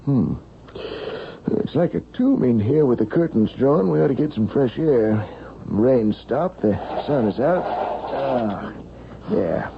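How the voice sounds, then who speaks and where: An actor playing an earnest, probing doctor in a 1970s radio drama, questioning intensely and insistently. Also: male voice, medium pitch, expressive, slow pace, creaky, slight background noise. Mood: calm, concerned. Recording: muffled vintage radio recording.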